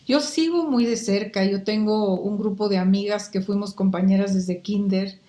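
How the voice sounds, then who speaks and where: An older woman from Guatemala who speaks with a Mexican accent, a guest telling lively stories in a casual interview. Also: mid-range voice, moderate pace, reverberant. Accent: Mexican Spanish. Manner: animated storyteller, picks up accents.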